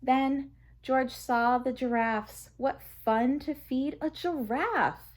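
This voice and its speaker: Lady reading a kids picture book out loud, kinda high voice, expressive and deliberate. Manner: expressive, deliberate storytelling for young children. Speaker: children's storyteller. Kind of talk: read-aloud children's picture book narration.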